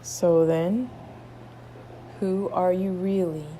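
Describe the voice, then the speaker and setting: A woman leading a guided meditation, soothing, with drawn-out phrases and long pauses between them. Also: on the low side, fairly even intonation, warm tone, slight background noise, poor audio.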